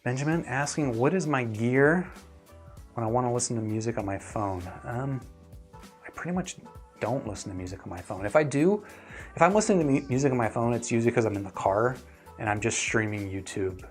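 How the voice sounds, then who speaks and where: A man's voice: medium pitch, expressive, brisk, soft background music. A man giving gear opinions as a reviewer and host, chatting casually and thinking aloud as he answers viewers. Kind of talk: casual livestream chat answering viewer questions.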